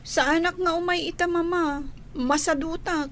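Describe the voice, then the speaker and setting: Very high-pitched young Filipina daughter played by a woman. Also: steady tone, moderate pace, a little hiss or hum. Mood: sulky. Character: lovestruck, defiant, sulky grown daughter. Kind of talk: Ilocano comedy radio drama acting.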